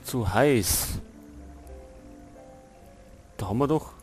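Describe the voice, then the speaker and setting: A man with a Bavarian accent makes short, relaxed asides while playing through a game. His voice sits at a middle pitch, and faint game music plays behind him. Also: drawn-out words. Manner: laid-back, brief asides. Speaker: let's play host. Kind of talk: casual gameplay commentary.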